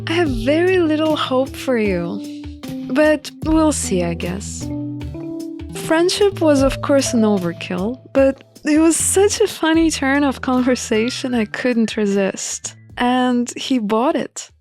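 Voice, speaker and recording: A woman reading a visual novel aloud with expressive narration and casual commentary. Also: high-pitched, takes it slowly. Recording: music playing behind the voice. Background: music.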